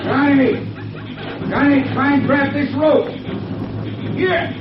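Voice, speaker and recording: A man's voice: extremely high pitch, steady tone, slow, music in the background. An American actor playing an opinionated, needling retired male investigator in a 1950s radio drama, badgering and emphatic. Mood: frantic, shouting. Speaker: supporting actor. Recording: muffled, very noisy old radio broadcast.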